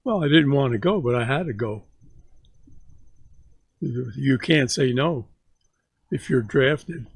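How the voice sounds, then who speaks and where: A man in his nineties telling stories from his youth to his grandson, slow and halting, often repeating words as he begins a sentence. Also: medium pitch, expressive, gravelly, breathy edge, no echo. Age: 90s. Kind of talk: casual storytelling interview.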